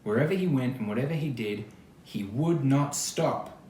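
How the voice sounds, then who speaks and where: Expressive man reading a storybook, lively pitch. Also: normal speed. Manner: expressive, acts out quoted lines, chatty asides. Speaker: storybook reader. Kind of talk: read-aloud of a children's picture book with asides. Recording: roomy echo, faint background noise.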